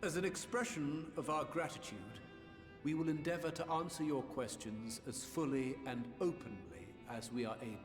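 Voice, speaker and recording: A man's voice: a little high, normal speed, over music, lightly acted. An actor playing a formal male chairman who opens an official session in a video game. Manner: formal, ceremonial. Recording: roomy with long reverb.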